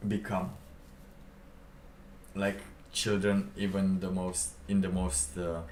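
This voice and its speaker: A man live streaming, chatting casually in a halting, rambling way with lots of fillers. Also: deep voice, monotone, a little mellow, a little hiss or hum. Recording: roomy, distant mic with faint ambience.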